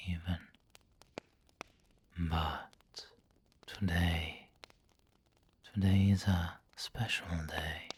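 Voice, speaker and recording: A man playing a doting, refined vampire lord in an ASMR roleplay, speaking intimately in a breathy whisper with formal wording. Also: sits low, darkish tone, a little hiss or hum.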